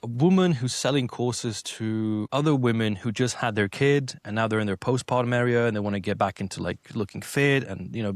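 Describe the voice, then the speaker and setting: Low-voiced Slovenian man, dry close sound. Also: dry recording. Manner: animated, talks in quick bursts with acted-out quotes. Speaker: English accent coach. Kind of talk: casual interview talk.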